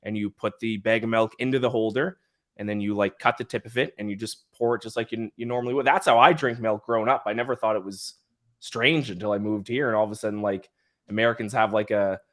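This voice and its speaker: A man's voice: lowish voice, fairly even intonation, fast talker, a bit bright. A young man with a Nova Scotian accent, co-hosting a sports podcast in casual, rambling chat. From Nova Scotia, Canada. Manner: chatty, goes on side tangents, plenty of likes and uhs. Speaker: podcast co-host.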